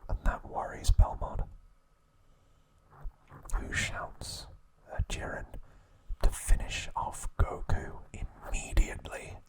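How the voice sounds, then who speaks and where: Guy whispering asmr narration real slow, short hushed phrases, very deliberate. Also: rough recording. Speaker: ASMR host.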